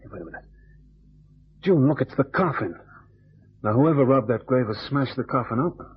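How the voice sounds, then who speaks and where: An actor playing a tough, quick-witted private detective who talks in clipped slang, in old-time radio drama style. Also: male voice, medium pitch, lively intonation, lightly acted. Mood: hushed, urgent. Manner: clipped tough-guy slang, calls partner baby. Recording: dull, noisy vintage radio recording with hum.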